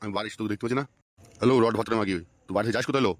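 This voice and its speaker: A man's voice: on the low side, steady tone, very fast, no echo, natural voice. A man voicing an angry, suspicious, foul-mouthed old village husband in a comic cartoon, cursing and scolding. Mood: fuming. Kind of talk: comic Bengali cartoon voice acting.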